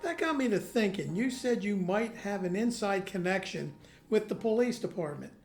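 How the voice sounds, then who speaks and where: A woman playing a calm, supportive confidant in an audio drama, encouraging in manner. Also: mid-range voice, normal speed, faint music behind, lightly acted. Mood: thoughtful, supportive. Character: supportive, steady confidant of a vigilante hero. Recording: roomy echo.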